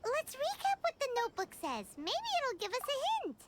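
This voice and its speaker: A woman's voice: very high-pitched, wildly swooping pitch, normal speed, a little hiss or hum, exaggerated voice. A woman voicing a tiny, chirpy, nosy fairy-like girl companion in a video game who talks about herself in the third person. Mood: excited, curious.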